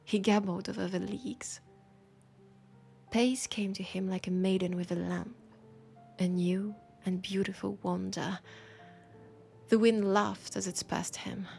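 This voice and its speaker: A woman with a French accent playing a romantic, teasing bookseller in a soft, breathy, intimate roleplay audio. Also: mid-range voice, little pitch movement. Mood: poetic, rapt. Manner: slow, breathy, whispery, tender and playful. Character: romantic, teasing female bookseller fond of a vampire customer. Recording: music behind the voice. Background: music.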